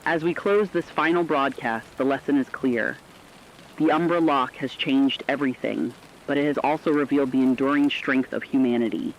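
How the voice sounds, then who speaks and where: A man playing a sober radio broadcaster, reading a scripted post-catastrophe report in an earnest, measured way. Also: medium pitch, steady tone, lo-fi sound, slight background noise, close to an everyday voice. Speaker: radio announcer.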